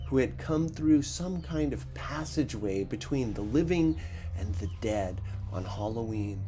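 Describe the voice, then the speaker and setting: A man reading a first-person story expressively, with a measured, dramatic delivery. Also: medium pitch, dark tone. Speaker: audiobook narrator.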